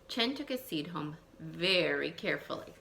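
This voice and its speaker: A woman teacher reading a picture book aloud to young children, expressive, thinking aloud and putting questions to her listeners. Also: deep voice, normal speed, gravelly, a little hiss or hum. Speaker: elementary school teacher.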